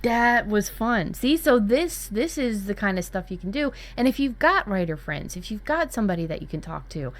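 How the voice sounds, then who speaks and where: A woman's voice: mid-range voice, big pitch swings, normal speed, hardly any pauses. A woman in casual brainstorming chat as a workshop co-host, talking candidly and dramatically, with some swearing. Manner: candid, sweary, dramatic about spooky ideas.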